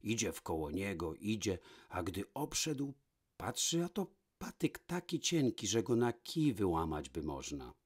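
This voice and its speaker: Man, husky voice, fairy-tale narration, very animated intonation, dramatic. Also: fairly low pitch, unhurried. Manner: dramatic, with varied hushed and emphatic delivery. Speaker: storyteller. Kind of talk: expressive fairy-tale narration, read from a book.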